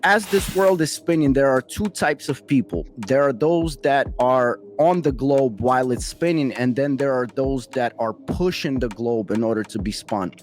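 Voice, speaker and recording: A man's voice: mid-range voice, moderate pace, hardly any pauses, faint music behind. A middle-aged man from Western Ukraine, hosting a podcast interview in an earnest, heartfelt conversational way. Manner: earnest, heartfelt, speaks in sweeping moral statements. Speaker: podcast host.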